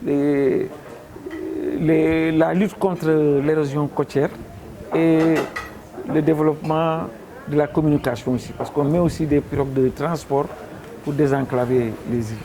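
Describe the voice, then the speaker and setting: A man with a Senegalese accent giving spontaneous interview remarks in informal, halting phrases. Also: on the high side, steady tone, background noise. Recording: busy crowd chatter behind voice.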